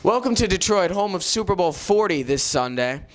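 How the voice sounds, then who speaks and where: A man's voice: high-pitched, very animated, moderate pace. Man spoofing a TV reporter. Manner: overplayed newscaster delivery. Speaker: mock street reporter.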